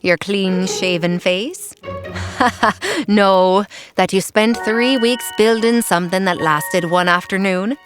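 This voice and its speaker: A woman playing a giant's clever wife in a storybook drama, doting sweetly and teasing in a deadpan way. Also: mid-range voice, slow, over music, close to an everyday voice. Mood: dry teasing.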